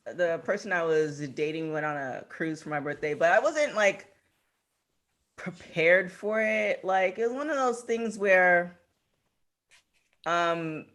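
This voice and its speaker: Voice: mid-range voice, animated, slow pace, a bit bright. A man in his thirties co-hosting a podcast, talking in a rambling way with lots of fillers. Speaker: podcast co-host. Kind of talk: casual podcast chat.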